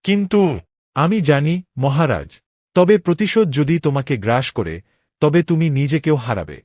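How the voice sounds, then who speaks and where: A man's voice: a little high, lively intonation, normal speed, darkish tone, dry recording, studio quality. A man playing a king's loyal, worried young friend in a cartoon drama, with an earnest, pleading way of speaking. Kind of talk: dramatic Bengali cartoon voice acting.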